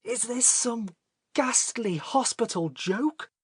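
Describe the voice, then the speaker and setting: A man voicing a distraught gentleman, a new father near collapse, agitated and halting, in a storybook reading. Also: extremely high pitch, sing-song, breathy edge, no echo, studio quality, voice pushed up, full character voice. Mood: aghast, angry.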